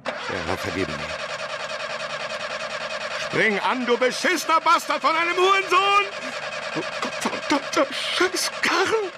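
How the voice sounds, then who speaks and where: A man's voice: extremely high pitch, wildly swooping pitch, measured pace, pauses often, very noisy, heavily acted. An actor playing a hapless, pious, easily panicked man in a radio crime drama. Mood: frantic, pleading. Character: hapless, pious, panicky man desperate over his treasure.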